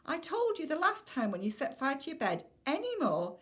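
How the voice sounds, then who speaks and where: Woman acting a stern, exasperated storybook mother, pitched up. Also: wildly swooping pitch, moderate pace. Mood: exasperated, scolding. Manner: scolding, pointed questions. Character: stern, sarcastic, exasperated mother of a mischievous boy.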